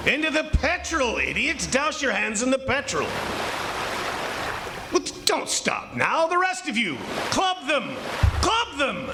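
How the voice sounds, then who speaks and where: A man's voice: extremely high pitch, huge pitch swings, measured pace, full character voice. A man playing a cocksure, scornful plantation boss with a commanding, theatrical delivery in 1940s radio drama style. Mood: frantic, fierce. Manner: commanding, theatrical, barking orders. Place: live radio theatre performance on a college campus. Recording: clearly noisy live stage recording.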